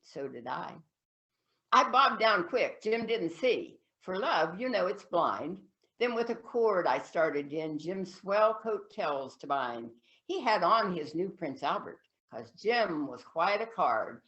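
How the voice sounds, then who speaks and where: A gleeful country kid played by a woman spins a comic tall tale in folksy dialect. The telling is animated and theatrical, like campfire storytelling, on a slightly dull lo-fi recording. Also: medium pitch. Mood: sly deadpan. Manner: animated folksy dialect recitation with dropped deadpan refrains.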